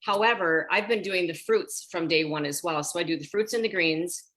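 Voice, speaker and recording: Sixties female coach, lively group-call talker with a low voice and a bright, forceful edge. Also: moderate pace, low-quality recording. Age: 60s. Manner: animated, talks straight to the group as "you guys". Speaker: women's health coach. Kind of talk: casual group video call chat.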